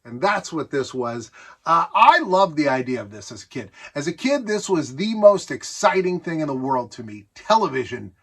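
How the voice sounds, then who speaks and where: Higher-voiced middle-aged American man chatting casually. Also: big pitch swings, normal speed, a bit bright, husky. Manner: enthusiastic, conversational, self-amused asides. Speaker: host showing a vintage electronics collection. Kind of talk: casual nostalgic show-and-tell monologue.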